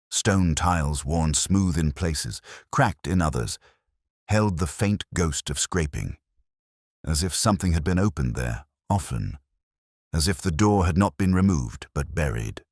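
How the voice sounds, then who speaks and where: A man plays a world-weary middle-aged detective telling his own mystery story. His voice sits extremely low yet moves expressively through each phrase. The delivery is reflective and literary, recorded close and dry. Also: expressive, measured pace, a little mellow, dry recording, exaggerated voice. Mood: brooding, reflective. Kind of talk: scripted first-person mystery narration.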